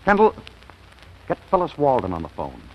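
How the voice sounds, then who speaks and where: Male, flustered bossy executive, stammering, husky, hugely swooping pitch, vintage radio comedy. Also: wildly swooping pitch, moderate pace, muffled recording. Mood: urgent. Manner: stammering, abrupt. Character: flustered, bossy businessman pushed into hasty marriage. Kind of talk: 1940s radio comedy acting.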